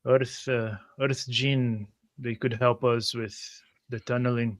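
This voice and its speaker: Slow-speaking man, measured and formal. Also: mid-range voice, level tone, dry recording. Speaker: tabletop game player. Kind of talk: improvised in-character roleplay.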